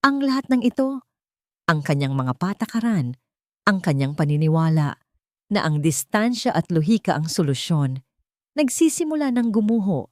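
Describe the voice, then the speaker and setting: Low-voiced woman narrating a drama, dry sound. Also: sing-song, normal speed, no room sound. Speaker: story narrator. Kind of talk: scripted dramatic narration of a love story.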